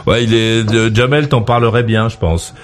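A man's voice: on the low side, fairly even intonation, moderate pace, faint music behind. A man hosting a late-night call-in radio show, joking and rambling, laughing often as he talks with callers. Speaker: radio talk show host.

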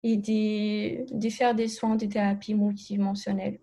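A Brazilian woman speaking French with a Brazilian accent, a therapist guest in a podcast interview, talking hesitantly with many pauses and restarts. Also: medium pitch, little pitch movement, mellow. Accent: Brazilian Portuguese.

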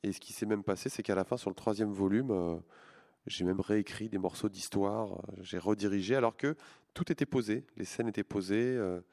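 A man's voice: lowish voice, fairly quick, gravelly, warm tone. A man in his forties, a comic book artist, answering interview questions in a hesitant way, with many restarts and fillers.

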